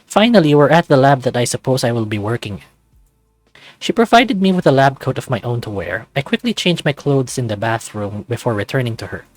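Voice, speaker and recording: A man's voice: medium pitch, expressive, fairly quick, dry recording. A man hosting a let's play, reading a visual novel aloud over music.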